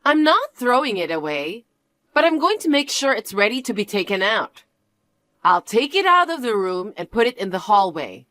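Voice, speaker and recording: A spiteful, domineering mother-in-law sneers and gloats, voiced by a woman. Her pitch swings widely as she mocks, with a haughty, taunting edge. The recording is close and dry. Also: sing-song, normal speed, fairly bright tone, no room sound. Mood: gloating. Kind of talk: one-voice drama reading.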